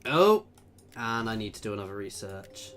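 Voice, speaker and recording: A man's voice: on the low side, very animated, normal speed, over music. A man giving casual commentary while playing a strategy game, thinking aloud and chatting with viewers. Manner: chatty, thinking aloud, reads out chat messages. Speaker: gameplay video creator.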